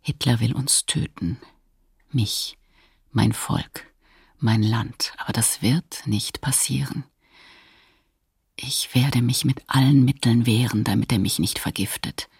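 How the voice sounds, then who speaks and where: An actress playing a frail but defiant woman in her fifties, reading a diary in a radio docudrama in a breathy, half-whispered voice. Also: very deep voice, slow, a little mellow, no echo, studio quality, heavily acted. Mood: fearful, defiant. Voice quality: breathy, husky, creaky.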